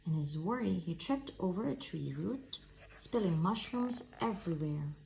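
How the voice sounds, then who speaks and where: A woman reading a children's story aloud in expressive scripted narration. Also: fairly low pitch, moderate pace, creaky, a little hiss or hum, poor audio. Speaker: storybook reader.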